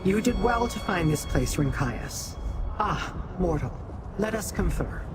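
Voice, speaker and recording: A woman's voice: fairly low pitch, lively intonation. An actress playing a commanding, guarded female leader in a fantasy video game, speaking formally over music. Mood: composed, formal. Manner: formal, measured, imperious. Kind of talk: scripted fantasy game dialogue.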